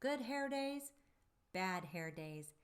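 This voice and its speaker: A woman reading a storybook aloud for young children, with deliberate, dramatic phrasing and drawn-out emphasis on key words. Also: mid-range voice, animated, smooth. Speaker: children's storybook reader and presenter.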